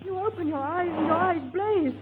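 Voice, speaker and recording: An actress playing the gentle apparition of a prisoner's beloved, in the style of old radio horror. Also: female voice, extremely high pitch, moderate pace. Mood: tender, searching. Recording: thin phone-like, noisy old radio sound.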